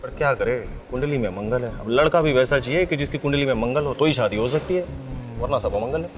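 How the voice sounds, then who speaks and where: An actor playing a knowing gossip who confides and explains, in a film comedy. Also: male voice, medium pitch, quick pace, close to an everyday voice. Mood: knowing, gossipy. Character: gossipy, knowing man pointing out rich families. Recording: music behind the dialogue.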